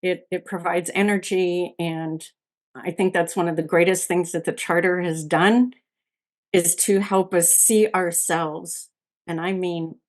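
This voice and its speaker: A woman giving a slow, earnest closing reflection on a panel. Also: on the low side. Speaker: panel speaker.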